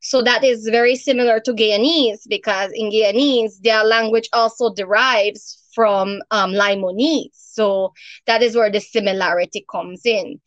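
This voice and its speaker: A woman from Belize with a Belizean Creole accent, explaining things in a relaxed way on a podcast and laughing often. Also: medium pitch, a little slow, no echo, lo-fi sound. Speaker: guest. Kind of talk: casual podcast conversation.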